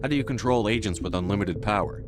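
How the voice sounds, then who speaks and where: An actor playing a firm, no-nonsense military commander in a video game, with terse delivery. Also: male voice, lowish voice, quick pace, music in the background, lightly acted. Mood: questioning.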